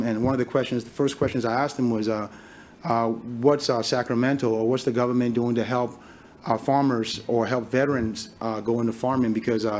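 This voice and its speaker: A man from Liberia in his thirties, a farmer giving a conference talk, speaking haltingly with many fillers and restarts. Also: medium pitch, darkish tone, slight background noise. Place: conference hall stage.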